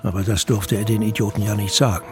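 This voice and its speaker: A man's voice: fairly low pitch, steady tone, quick pace, a little mellow. A man narrating a scripted, dramatic audiobook as a storyteller. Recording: music bed under narration.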